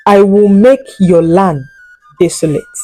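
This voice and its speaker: A woman's voice: medium pitch, slow pace, mellow, soft background music, close to an everyday voice. A woman storyteller playing a ruthless older warrior king, a grieving father who gives menacing commands in a folk tale. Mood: cold fury.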